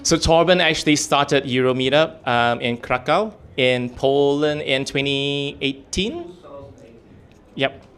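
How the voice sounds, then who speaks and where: Man hosting a meetup, deep-voiced today. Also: slow pace, a bit bright, clean tone. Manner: chatty, laughs easily, runs the agenda. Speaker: event host. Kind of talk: casual event hosting. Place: hotel meeting room.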